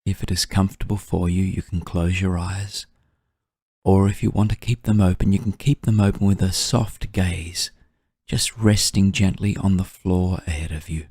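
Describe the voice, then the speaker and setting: A man guiding a meditation in a soft, breathy, soothing voice, gently and unhurriedly, with long pauses. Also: sits low, steady tone, no room sound, clean studio sound. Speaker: meditation guide. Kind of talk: guided meditation narration.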